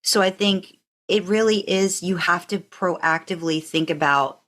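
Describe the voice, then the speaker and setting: A woman's voice: fairly low pitch, a little slow, a bit bright. A woman co-hosting a podcast in casual chat, earnest and repeating phrases as she builds a point. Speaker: podcast co-host.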